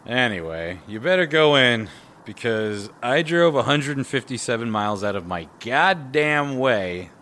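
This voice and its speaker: A man's voice: medium pitch, animated, a little slow, bright, forceful tone, slight background noise. An actor voicing Dale, a blunt, sarcastic young man who swears freely. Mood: sardonic. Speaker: driver. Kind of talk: audio drama acting.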